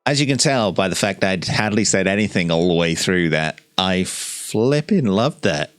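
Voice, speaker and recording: A man's voice: sits low, animated, normal speed, fairly bright tone, dry recording. A man giving enthusiastic, informal commentary as the host of a gameplay video.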